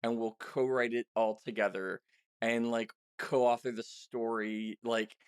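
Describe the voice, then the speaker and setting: A man's voice: medium pitch, level tone, measured pace, clear, resonant tone, dry recording, clean studio sound. A man hosting a casual interview, talking candidly and self-deprecatingly with rambling asides. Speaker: podcast host and audio drama writer.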